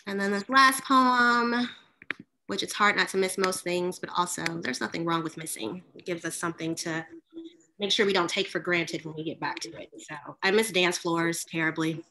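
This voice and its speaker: Woman poet reading on a video call, bright forward voice, chats between poems. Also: fairly low pitch, fairly even intonation, normal speed, low-quality recording. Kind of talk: poetry reading with casual asides. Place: room at home with bookshelves, indoors.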